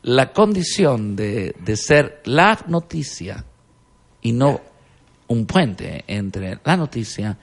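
A man acts out a pompous Miami-based journalist in a comedy interview. His delivery is halting and full of himself. The pitch swings animatedly over a creaky, gravelly voice. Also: big pitch swings, full character voice. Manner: halting, hesitant, apologizes for slipping into English, breaks into laughter. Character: pompous Chilean-born Miami TV journalist, self-important, mangling English. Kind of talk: comic mock interview.

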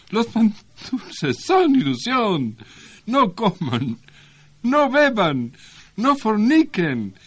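A man voicing a solemn guru who preaches renunciation in slow, repetitive, chanting phrases for a radio comedy sketch. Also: high-pitched, husky, exaggerated voice. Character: solemn Indian-style guru renouncing all earthly pleasures. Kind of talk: parody spiritual message, radio sketch.

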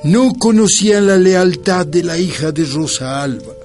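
An actor playing a grown son who narrates his mother's life in a radio drama, solemn and affectionate, in measured storytelling. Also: high voice, lively intonation, a little mellow, soft background music. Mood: recalling.

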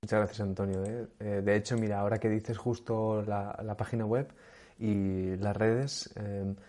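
A man with a Spanish accent from Spain, hosting an interview. His voice is low and mellow, warm and unhurried. He talks in a friendly, halting way, full of fillers. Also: little pitch movement. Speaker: interview host.

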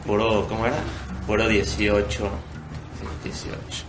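A man's voice: fairly low pitch, completely flat delivery, unhurried, background noise. A man in his twenties with a Mexican accent, chatting casually and jokingly in slangy talk as a podcast co-host. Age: 20s. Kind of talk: casual banter chat. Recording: roomy echo, music behind.

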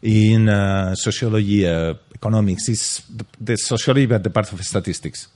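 A man's voice: fairly low pitch, normal speed, a little mellow. A man with a Spanish accent answering interview questions in English, hesitant and repeating words. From Spain. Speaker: interview guest, car brand executive. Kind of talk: casual interview.

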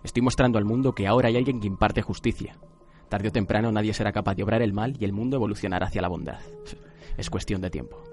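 A man's voice: on the low side, fast, darkish tone, faint music behind, lightly acted. A man playing a cold, arrogant young man who sees himself as a god of justice, solemn and grandiose, in an anime radio drama.

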